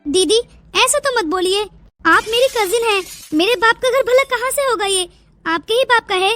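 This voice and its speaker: A woman's voice: very high voice, animated, normal speed, fairly bright tone, dry recording, soft background music. A woman voicing a meek young woman, pleading and emotional, in a Hindi family melodrama cartoon. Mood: hurt. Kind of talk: Hindi moral-story cartoon dialogue.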